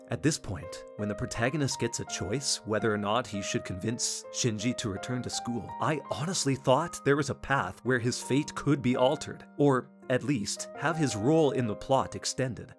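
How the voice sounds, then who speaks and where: A man giving earnest, scripted video essay narration about games in polished phrasing. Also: medium pitch. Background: background music.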